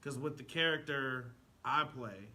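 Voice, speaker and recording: A man's voice: mid-range voice, a little slow, pushed and bright. A man talking casually but with passion as a show guest, emphatic and repeating phrases for effect. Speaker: stage actor, show guest. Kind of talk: impassioned casual talk.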